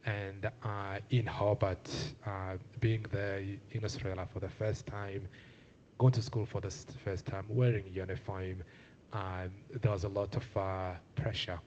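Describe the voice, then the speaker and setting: A man's voice: low voice, little pitch movement, measured pace, darkish tone, highs cut off, a little hiss or hum. A young man from Zimbabwe speaking on a panel, earnest and hesitant with many filler sounds. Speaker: community panelist. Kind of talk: panel talk.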